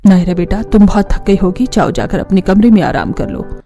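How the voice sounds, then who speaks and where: A woman voicing a kind middle-aged mother-in-law from a family cartoon. The voice is mellow and mid-pitched, motherly and measured, over light music. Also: expressive, lightly acted. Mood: reassuring. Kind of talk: cartoon family story dialogue.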